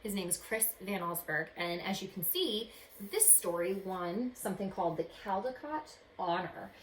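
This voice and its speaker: Woman, animated storybook read-aloud, dramatic delivery for children, roomy echo, faint noise. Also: medium pitch. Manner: dramatic, pausing to ask young listeners questions. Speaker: teacher reading a picture book aloud to children.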